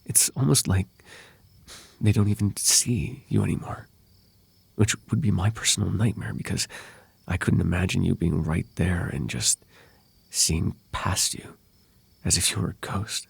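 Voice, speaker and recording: A man playing a possessive, lovelorn fae royal heir in an intimate ASMR roleplay, with halting, tender delivery and formal, old-fashioned wording. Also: low-pitched, creaky, husky, no echo, full character voice. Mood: anxious, tender.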